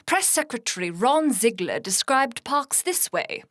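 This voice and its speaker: A woman's voice: a little high, very animated, fairly bright tone, dry recording, clean studio sound. A woman playing a composed, formal 1960s radio news anchor in a scripted drama. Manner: measured, formal newsreading.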